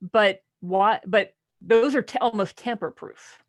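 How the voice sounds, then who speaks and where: A podcast panelist talking casually through a book, questioning it with animated incredulity and frequent restarts. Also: mid-range voice, measured pace, dry recording, clean studio sound.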